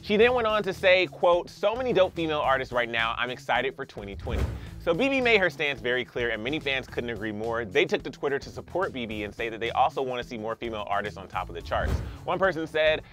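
A young man with an American accent presents scripted celebrity news in a bright, forceful voice. His pitch sits in a normal male range and his delivery is punchy and emphatic. Light music plays underneath. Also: sing-song, fast, hardly any pauses. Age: young adult. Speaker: entertainment news host. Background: faint music.

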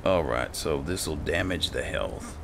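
A man giving low-key let's play commentary on a fantasy role-playing game, muttering to himself over game ambience. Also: slow pace, gravelly, fairly bright tone. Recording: constant background game noise.

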